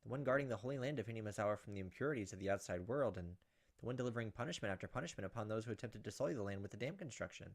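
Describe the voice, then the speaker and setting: A man's voice: on the low side, expressive, racing. A man hosting a gaming video, reading a visual novel aloud as dramatic storytelling and breaking off for casual, off-the-cuff asides.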